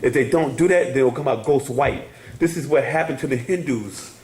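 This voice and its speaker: A man's voice: mid-range voice, normal speed, darkish tone, echoey room. A man teaching a casual class, rambling and laughing, often asking "you hear me?". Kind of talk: casual spoken class lecture.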